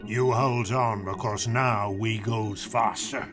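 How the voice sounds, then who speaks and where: An actor playing a kindly, bumbling old giant in a children's audio drama, talking in quaint, muddled grammar. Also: male voice, on the low side, fairly even intonation, takes it slowly, music in the background. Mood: gentle, relieved.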